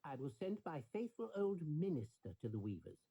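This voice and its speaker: Man acting a vain emperor, storybook, pompous, calculating, swooping intonation, husky. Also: fairly high pitch, sing-song, moderate pace, mellow, exaggerated voice, poor audio. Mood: confident. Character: vain, calculating emperor pondering aloud to himself.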